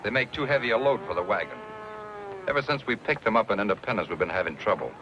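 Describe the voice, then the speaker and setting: An actor playing a defensive, resentful pioneer settler in a Western, earnest and argumentative. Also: male voice, lowish voice, animated, fast, natural voice. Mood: accusing. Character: resentful, defensive male settler with a broken wagon. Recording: dull old recording with clear background noise.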